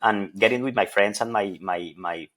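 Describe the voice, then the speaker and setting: Casting note: middle-aged man from Colombia, very low voice, academic, halting, repeats words while thinking. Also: fairly bright tone. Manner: thoughtful, many hesitations and repeated words. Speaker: associate professor of plant evolution. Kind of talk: interview answers.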